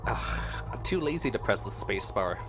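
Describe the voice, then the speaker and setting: A man's voice: lowish voice, very animated, moderate pace, low-quality recording. A man giving casual, off-the-cuff reaction commentary as a gaming streamer. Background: game music.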